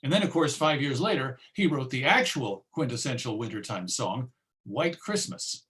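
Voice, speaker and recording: A man's voice: mid-range voice, animated, normal speed, a bit bright. American man giving an informal lecture. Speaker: presenter of a music history talk.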